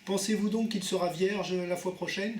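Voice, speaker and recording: A man's voice: high-pitched, fairly even intonation, moderate pace, echoey room, over a noisy crowd. A man making a prank phone call, asking naive questions in a hesitant, repetitive way. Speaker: prank caller posing as a car buyer.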